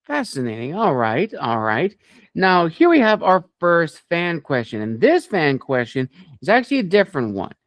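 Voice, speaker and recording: A man hosting an interview, speaking conversationally with measured, wordy lead-ins to his questions. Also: a little high, huge pitch swings, fairly bright tone, no echo. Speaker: interview host.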